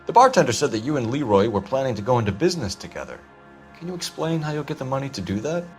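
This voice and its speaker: Actor as brisk, stern noir police detective. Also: male voice, medium pitch, sing-song, soft background music, natural voice. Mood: accusing. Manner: clipped, pressing, formal. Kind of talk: 1940s noir game dialogue acting.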